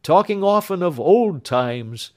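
A man's voice: high voice, animated, slow pace, a little mellow, pitched above the performer's own voice. An older-sounding male reader voicing an earnest, affectionate young man, speaking eagerly and with feeling. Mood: fervent.

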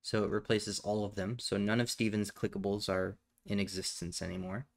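A man with a fairly low voice narrates a software tutorial step by step. He explains each action casually and plainly, as someone talking while working at a computer. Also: flat delivery, brisk, creaky. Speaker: tutorial host. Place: indoors at a computer, voice over screen capture.

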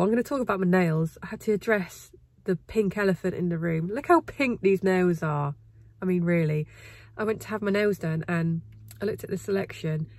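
A woman in her thirties with a cold, sounding croaky and bunged up, chatting casually to her phone camera in a parked car and then in a farm shop. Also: on the low side, darkish tone. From England. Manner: chatty, bubbly, rambling asides. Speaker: vlogger. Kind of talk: casual vlog chat. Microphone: phone held at arm's length.

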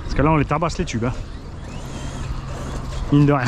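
A man's voice: on the high side, normal speed, dull audio, background noise. A man hosting an RC car outing, chatting casually and laughing often as he drives outdoors. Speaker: host filming an RC car outing. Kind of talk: casual running chat while driving. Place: outdoors in an urban spot.

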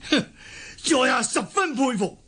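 An actor with a Hong Kong accent playing a weary, dignified old martial-arts recluse in a radio drama, mournful and halting. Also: male voice, very high voice, sing-song, pushed and bright, husky, heavily acted. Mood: bitter, resigned. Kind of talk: Cantonese radio drama acting. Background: music.

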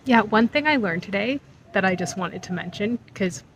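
A woman's voice: mid-range voice, expressive, normal speed, fairly bright tone, a little hiss or hum. Chatty woman vlogging outdoors. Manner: chatty and reflective. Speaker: travel vlogger. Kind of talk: casual travel vlog. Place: outdoors at temple grounds. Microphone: phone camera at arm's length.

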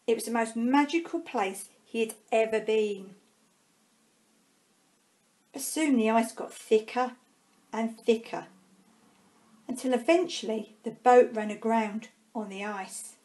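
A woman with a high voice reads a storybook aloud for young children. Her delivery is slow and expressive. She stretches key words and leaves dramatic pauses between phrases. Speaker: primary school staff member reading a storybook.

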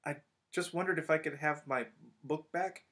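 An earnest young schoolboy, as a male storybook narrator puts him on, pitched well above the narrator's own voice and pushed out urgently. The words tumble out breathlessly. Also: moderate pace, fairly bright tone. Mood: nervous. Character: anxious, earnest eleven-year-old schoolboy.

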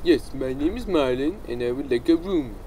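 A man voicing a naive, excitable male alien warrior in a game machinima, talking in a childlike, goofy way. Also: mid-range voice, moderate pace, slight background noise, close to an everyday voice, rough recording. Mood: dopey. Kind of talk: amateur game machinima acting.

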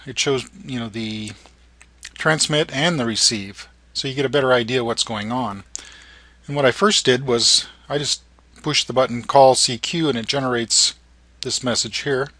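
A man with a bright, forward voice narrates an informal how-to. His phrasing is halting, broken into short pieces, and the sound is dry and close. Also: medium pitch, dry recording. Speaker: amateur radio operator and narrator.

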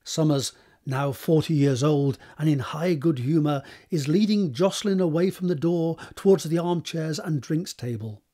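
Higher-pitched, slightly husky male narrator. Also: moderate pace, warm tone. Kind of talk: scripted narration of stage directions.

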